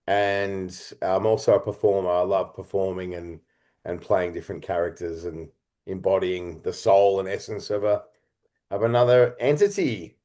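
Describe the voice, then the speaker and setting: A middle-aged man speaking as an interview guest, telling stories in a reflective, halting and earnest way. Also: low voice, steady tone, warm tone. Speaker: interview guest, writer, director and performer.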